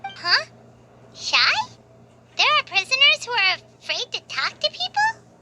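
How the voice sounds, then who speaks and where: A woman voicing a small, cheeky, cheerful female fairy companion in an anime-style video game, with a squeaky childlike voice and perky, exclamatory delivery. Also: wildly swooping pitch, unhurried, heavy background noise, full character voice. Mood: curious. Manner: perky, exclamatory, speaks of self in third person.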